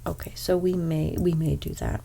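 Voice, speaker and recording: A young woman with a stuffy nose giving an ASMR haircut role play as a hairstylist. She speaks softly, often slips into whispers, and makes gentle salon small talk. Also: low-pitched, fairly even intonation, moderate pace, creaky, a little hiss or hum. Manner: soft-spoken, drifting into whispers.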